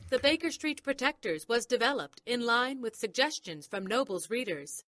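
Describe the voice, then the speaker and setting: A woman's voice: fairly high pitch, animated, moderate pace, a bit bright, dry recording. A woman presenting a podcast, reading scripted narration close to the microphone.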